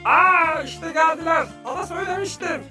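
Young explorer sidekick for a kids' cartoon: high, enthusiastic, comforting, in an echoey space. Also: female voice, normal speed, distant mic. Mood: reassuring. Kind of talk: children's educational cartoon dubbing. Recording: roomy echo, music behind.

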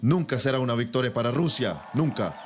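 A male interpreter voicing a speech as it happens, in a middle-pitched voice. His phrasing comes in measured, halting bursts as he keeps pace with the original speaker. A faint hiss sits under the voice. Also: animated. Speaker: simultaneous interpreter. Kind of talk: live interpretation of a political speech.

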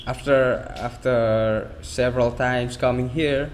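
Slow, halting male interviewer. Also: medium pitch, fairly even intonation, a little hiss or hum. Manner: hesitant, halting questions with many fillers and restarts. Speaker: interviewer, host. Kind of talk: casual interview over video call. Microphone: headset.

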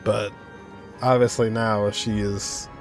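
A young man casually commenting on a video game as he watches, relaxed and chatty. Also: lowish voice, slow pace. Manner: relaxed, chatty, reacting aloud. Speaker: gaming reaction streamer. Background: game music.

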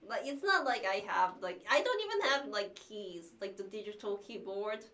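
A young woman whose first language is Korean, sick with the flu, chatting with livestream viewers in a small room, halting and restarting often with light laughs. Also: mid-range voice, animated, fairly bright tone. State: has the flu. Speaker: cellist streamer. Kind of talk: casual livestream chat reading viewer messages. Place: small practice room indoors.

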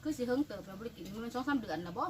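Quick-talking Isan woman, noisy outdoors. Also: on the high side, lively intonation. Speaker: mother and co-host. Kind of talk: casual eating vlog chat. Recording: noisy outdoor phone recording.

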